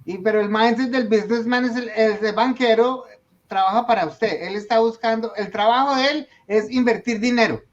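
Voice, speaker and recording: Storytelling man, high voice. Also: moderate pace. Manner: animated storyteller, acts out quoted dialogue. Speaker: small business owner, video producer. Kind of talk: casual podcast conversation. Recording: dull lo-fi sound.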